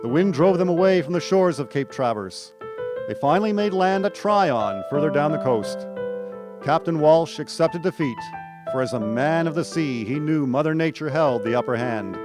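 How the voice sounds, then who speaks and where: A man's voice: on the high side, lively intonation, normal speed. A man narrating a historical radio drama in scripted storytelling style. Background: music.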